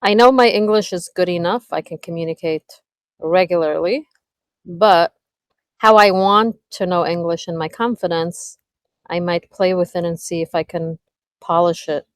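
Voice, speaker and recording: Israeli woman on a group call, lowish voice, dry close sound, lots of likes and restarts. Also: fairly even intonation, dry recording. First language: Hebrew. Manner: halting, searches for words with many fillers. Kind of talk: casual video call chat.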